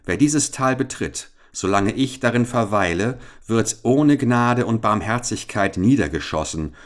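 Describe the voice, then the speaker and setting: A man's voice: lowish voice, expressive, measured pace, pitched above the performer's own voice. A man reading aloud and voicing a cool-headed, sardonic teenage boy adventurer in a storybook tale. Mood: mocking. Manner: mocking, confident, emphatic.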